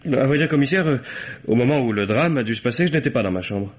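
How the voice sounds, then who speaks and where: A man's voice: medium pitch, animated, fast, natural voice. A man acting a reserved, bitter son under questioning in an old radio mystery, answering formally with hesitations and curt replies. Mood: guarded. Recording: dull, noisy old radio drama recording.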